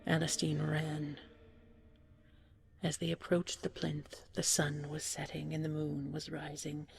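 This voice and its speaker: A woman narrating a scripted bedtime fairy tale softly and soothingly, often close to a whisper. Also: deep voice, monotone, unhurried, gravelly, slight background noise. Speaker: storyteller, audio roleplay performer.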